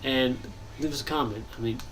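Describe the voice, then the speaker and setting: A young man with a stuffy, nasal voice, chatting casually and thinking aloud while he builds in a game, pausing often to cough or clear his throat. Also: medium pitch, fairly even intonation, fairly bright tone, slight background noise, poor audio. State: congested, nasal. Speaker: gaming channel host.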